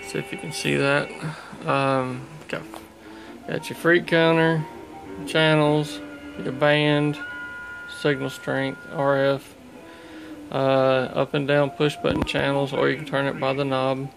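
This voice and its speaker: Flat-voiced man, slow and gravelly. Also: medium pitch, music in the background, rough recording. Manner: halting, plain-spoken. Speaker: radio hobbyist showing his equipment. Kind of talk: casual unscripted gear review. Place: small indoor room at home.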